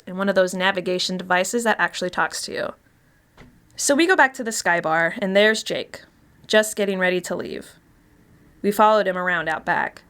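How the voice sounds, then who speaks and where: A woman's voice: medium pitch, fairly bright tone, close to an everyday voice. Chatty, wry woman confessing as an actress plays her. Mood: confiding. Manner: confiding, wry, conversational. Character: chatty, wry Los Angeles woman past thirty confessing. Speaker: actor reading a short story. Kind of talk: first-person dramatic monologue, conversational storytelling.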